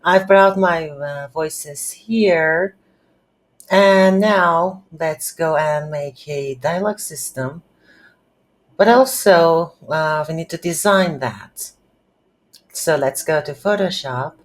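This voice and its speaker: Slow, low-pitched woman teaching software. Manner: halting, thinking aloud while working. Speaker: game development tutorial host. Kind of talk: casual screen-recorded software tutorial.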